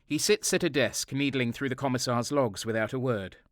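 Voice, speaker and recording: A man's voice: medium pitch, fairly quick, no room sound, clean studio sound, close to an everyday voice. An actor playing a cynical, cold and sadistic soldier who narrates his crimes in a brooding, sardonic way in an audio drama. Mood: brooding, bitter.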